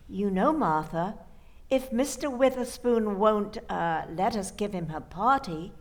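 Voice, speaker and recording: A woman playing a sweet, sociable elderly aunt in an old-time radio comedy, fussing and gently coaxing. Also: medium pitch.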